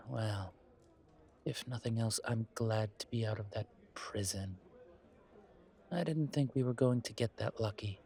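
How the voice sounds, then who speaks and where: Man voicing a male vampire, world-weary, courtly, slow-paced, deliberate pauses, wary, intimate audio roleplay. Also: on the low side, mellow. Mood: pensive, guarded. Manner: courtly, deliberate, pausing, sighing between phrases. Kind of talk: slow audio roleplay acting, addressed to listener.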